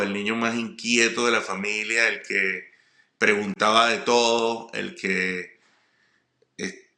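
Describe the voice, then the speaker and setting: A middle-aged man with a Venezuelan accent tells personal stories informally, often halting and repeating words. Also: lowish voice, pushed and bright. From Puerto La Cruz, eastern Venezuela. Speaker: comedian, guest speaker. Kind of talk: personal storytelling monologue. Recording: roomy echo.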